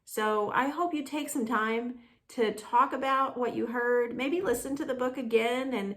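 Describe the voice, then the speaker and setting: A woman storyteller for a children's ministry, gently narrating a storybook and talking to young listeners. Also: fairly high pitch, steady tone, measured pace.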